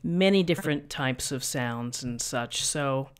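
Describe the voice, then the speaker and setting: Middle-aged woman, thin voice, calm step-by-step explaining, desk mic, tutorial host. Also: rumbling low, measured pace, clear, resonant tone. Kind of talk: screen-recorded software tutorial. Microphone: USB desk microphone.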